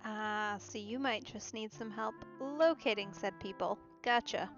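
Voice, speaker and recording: A woman's voice: mid-range voice, unhurried, muffled recording, faint music behind. A woman game streamer giving casual, musing live let's play commentary in a conversational way.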